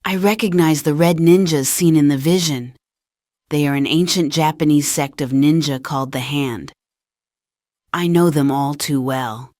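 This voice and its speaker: A woman's voice: low voice, a little slow, darkish tone, dry recording, studio quality. A woman voicing a cool, menacing, condescending female ninja assassin in fan-made game dialogue. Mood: grave.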